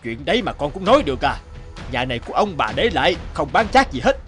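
A man's voice: on the high side, sing-song, fast, fairly bright tone, big-room sound, faint music behind. A man voicing a stern, exasperated middle-aged father in a cartoon, talking in a firm, scolding way. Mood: angry, scolding.

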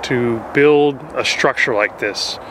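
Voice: medium pitch, takes it slowly. Off-camera voice asking questions. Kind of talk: casual interview questions. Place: outdoors in woods. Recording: outdoor recording with steady background noise.

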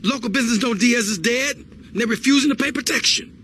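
An actor playing a hot-headed, cocky young gangster sidekick in a video game, brash and excitable. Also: male voice, very high-pitched, fast, a little hiss or hum. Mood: urgent. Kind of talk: video game cutscene acting.

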